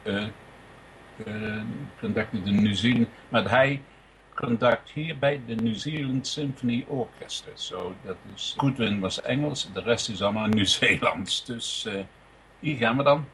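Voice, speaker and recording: Halting, chatty man presenting music. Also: mid-range voice, a bit bright, slight background noise, low-quality recording. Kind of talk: informal unscripted music presentation.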